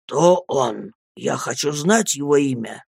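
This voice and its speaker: A narrator voicing a grieving old fisherman uncle in an audiobook, heavy and slow, given to fierce outcries. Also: medium pitch, dry recording, clean studio sound, close to an everyday voice. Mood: stunned, low. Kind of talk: audiobook character acting.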